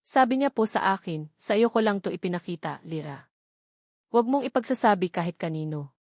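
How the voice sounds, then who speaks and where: A woman's voice: mid-range voice, animated, fairly quick, a little mellow, no echo, clean studio sound, lightly acted. Woman voicing a timid, deferential young housemaid. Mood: hesitant, confiding. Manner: hesitant, deferential. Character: timid, fearful young housemaid, humble and respectful.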